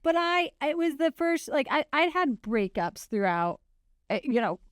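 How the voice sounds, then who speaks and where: A woman's voice: high-pitched, sing-song, a little slow, no room sound, clean studio sound. A woman in her forties co-hosting a podcast, talking in an earnest, reflective way and laughing easily. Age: 40s. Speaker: podcast co-host. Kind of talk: casual conversation.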